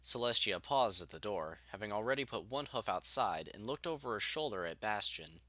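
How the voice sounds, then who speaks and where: Bright, expressive male story narrator. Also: on the low side, normal speed, no echo.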